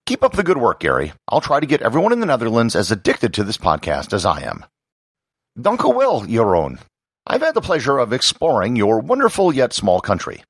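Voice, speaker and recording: An American man from Wisconsin hosting a podcast, delivering scripted educational narration in a husky, gravelly voice with measured, emphatic phrasing. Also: medium pitch, wildly swooping pitch, no echo, clean studio sound. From Wisconsin, United States.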